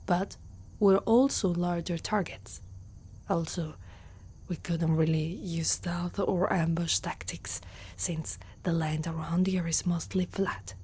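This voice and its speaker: A hushed, breathy, low-toned female centaur warrior, acted by a woman from Italy. She is an older, battle-worn guardian, protective and haunted by loss. The delivery is gentle, slow and earnest, with a soft mellow tone suited to whispered ASMR roleplay. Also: steady tone, heavily acted. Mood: reflective, somber.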